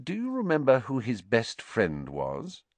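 A man voicing a stern, brisk older female schoolteacher with a sharp, precise manner in a storybook. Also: medium pitch, wildly swooping pitch, no room sound, voice pushed up.

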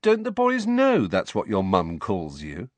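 Man voicing a sarcastic, swoopy-pitched teenage boy. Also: huge pitch swings, measured pace, a little mellow, no echo. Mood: taunting. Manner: taunting, sarcastic. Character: sullen, mocking teenage boy goading his cousin.

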